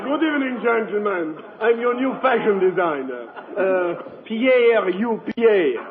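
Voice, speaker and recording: A man's voice: high-pitched, expressive, a little slow, crowd noise. A man playing a polite, affected male fashion designer in 1940s radio comedy. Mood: affected politeness. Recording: lo-fi 1940s radio, audience noise.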